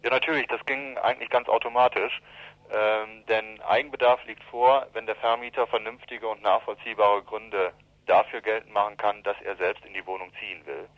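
A man's voice: lowish voice, fairly even intonation, normal speed, no echo. A man speaking as a spokesman, giving explanatory answers in a telephone interview. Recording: dull, band-limited telephone interview line.

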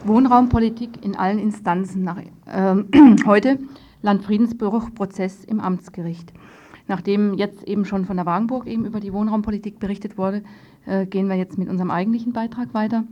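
A woman's voice: mid-range voice, mellow, highs cut off. A woman hosting a radio news magazine, presenting partly from a script and putting questions to guests. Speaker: radio host.

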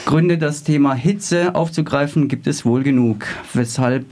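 Male radio interviewer with measured questions. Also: a little high, a little mellow.

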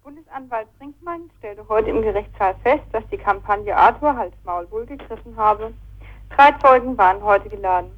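A woman's voice: medium pitch, normal speed. A woman giving a scripted court report as a news correspondent, on a muffled phone-in radio recording.

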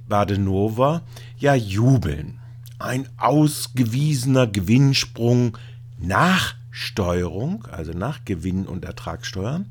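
A man narrating a scripted report with commentary, speaking haltingly, with hesitations. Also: fairly low pitch, a little mellow.

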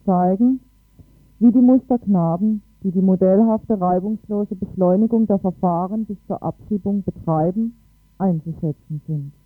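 A man's voice: high-pitched, normal speed. A man co-hosting a radio news programme, giving reports and announcements. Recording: dry, phone-like lo-fi radio.

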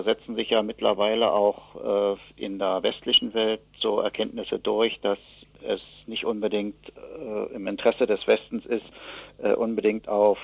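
Low gravelly guy, expert on radio, hesitant and stumbling, muffled lo-fi sound. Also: no room sound. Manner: halting, hesitant, with frequent filled pauses and rephrasing. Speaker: expert guest from an advocacy association. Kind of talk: spontaneous political analysis in an interview. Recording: dull, lo-fi radio interview sound.

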